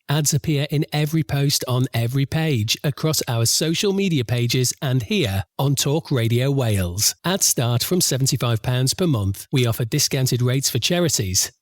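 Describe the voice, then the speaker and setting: A man reads a scripted radio advert at a middle pitch, smooth and even throughout. His voice sounds dry and close, with no room echo around it. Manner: smooth, even promotional read. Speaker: advert announcer.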